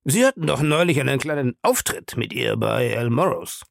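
A man voicing a shady, pushy male schemer in an audiobook, insistent, ironic and scornful, with a gravelly voice. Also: mid-range voice, expressive, moderate pace, dry recording, studio quality, voice pushed up. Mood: ironic, scheming. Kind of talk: audiobook character dialogue.

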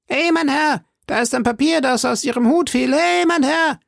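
A man voicing an excited, eager, shrill young stable boy in an audiobook. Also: moderate pace, creaky, no echo, studio quality, exaggerated voice. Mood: urgent calling. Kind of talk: audiobook character voice.

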